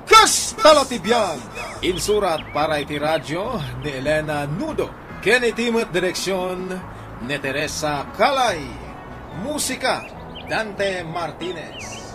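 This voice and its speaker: High, animated Ilocano male radio announcer. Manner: emphatic, deliberate phrasing. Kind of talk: dramatic scripted radio announcing. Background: music.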